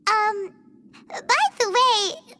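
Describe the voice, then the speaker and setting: A woman voicing a small, excitable, childlike fairy companion in a video game, chatting and exclaiming as she thinks out loud. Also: very high-pitched, extremely slow, background noise, heavily acted. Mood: puzzled.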